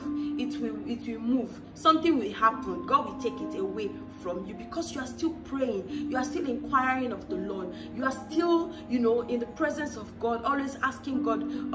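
A woman in her thirties talking to the camera, giving earnest faith-based relationship advice and repeating key phrases for emphasis. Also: on the high side. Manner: earnest, preachy, repeats phrases for emphasis, acts out quoted voices. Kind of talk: direct-to-camera advice talk. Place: small room against a plain wall. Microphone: camera in front, chest-up framing. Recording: roomy sound from a distant mic with music behind.

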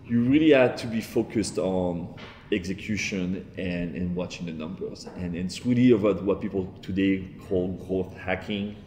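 French guy, low voice, talking through his startup story, echoey open office. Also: normal speed. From France. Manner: steady, fluent with filler ums and repeats. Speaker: entrepreneur guest. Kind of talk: seated interview, telling his story. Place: large open-plan office, indoors. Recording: roomy office reverb.

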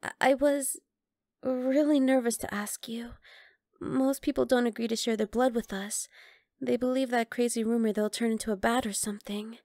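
Woman acting a high-voiced, teasing vampire girl. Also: steady tone, normal speed, darkish tone, no echo, studio quality, lightly acted. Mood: earnest, gentle. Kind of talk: ASMR roleplay.

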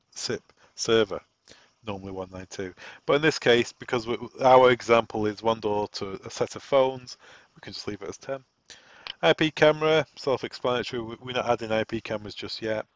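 A man giving an informal software setup walk-through, explaining conversationally and thinking aloud as he goes. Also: on the low side, dry recording. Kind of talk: informal software tutorial narration. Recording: dull, lo-fi, dry close recording.

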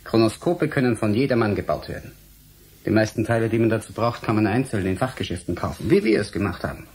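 An actor playing a defiant, principled scientist and lecturer in a German radio play, speaking formally, firmly and in a measured way. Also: male voice, on the low side, a little mellow, husky. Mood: cool, firm.

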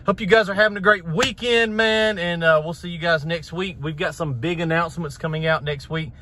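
A man's voice: fairly high pitch, steady tone, fairly quick, pushed and bright. A man, chatting casually to camera as a vlog host inside a vehicle. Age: 30s. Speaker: vlog host, pressure washing business owner. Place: inside a truck cab, driving then parked. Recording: in-car recording with road noise.

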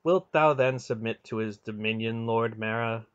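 A man's voice: mid-range voice, normal speed, clear, resonant tone, no echo, natural voice. Guy reading as a serious firm woman in an audiobook, old-timey formal talk, dry muffled sound. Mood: solemn. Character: firm, solemn woman pleading with a god.

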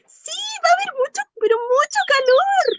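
A tiny, chirpy bird speaks extremely high and swoops about, repeating itself eagerly. It is an improvised radio play over a dull video call. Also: animated, moderate pace, fairly bright tone, no room sound. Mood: eager, reassuring. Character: little bird explaining what it sees from above.

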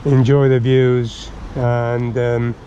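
A man talks casually from his motorcycle, pausing often with ums and drifting between thoughts. His voice sits at a middle pitch and comes through a dull, muffled helmet mic. Wind and traffic noise run steadily behind him. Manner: relaxed, rambling with many ums. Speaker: motorcycle vlogger. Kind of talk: casual narration while riding. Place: outdoors on a motorcycle by a river.

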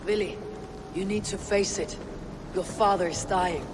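High-voiced, resolute Viking warrior. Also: male voice, measured pace, noisy background. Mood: firm, urging. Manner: firm, direct. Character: resolute, blunt Viking warrior, loyal friend. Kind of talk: video game cutscene acting.

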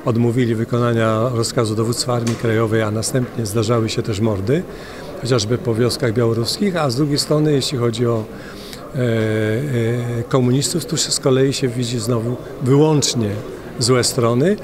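A man's voice: medium pitch, steady tone. Older male politician, hesitant hall interview, handheld mic, noisy background. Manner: halting, many filler hesitations. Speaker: member of parliament. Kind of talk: street interview answers. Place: large indoor parliament hall.

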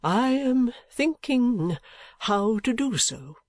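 A man voicing a kindly, excitable, sentimental old gentleman in a storybook reading, with an emphatic, halting, drawn-out delivery. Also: high voice, no echo, studio quality, pitched above the performer's own voice, heavily acted. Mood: grave, hesitant. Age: older.

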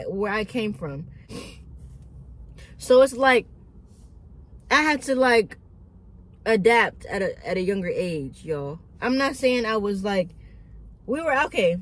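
A woman in her early twenties chatting casually to her phone camera from a parked car, rambling with lots of "like" and "dude". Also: mid-range voice. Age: early 20s. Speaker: vlogger. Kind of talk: casual chat while sitting in a car. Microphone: phone camera on dashboard.

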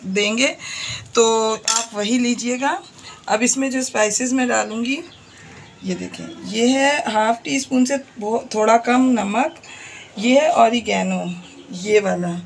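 A woman's voice: on the high side, noisy background. A woman with a Pakistani Urdu accent hosting a home cooking tutorial, talking viewers through each step in a chatty way. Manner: chatty, repeatedly points things out to viewers. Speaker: cooking channel host. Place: home kitchen.

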